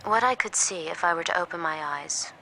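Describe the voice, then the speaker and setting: A woman's voice: on the low side, fairly quick, vocal fry, background noise. A woman in an audio drama playing a subdued, observant handmaid, narrating in an intimate, restrained way. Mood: detached.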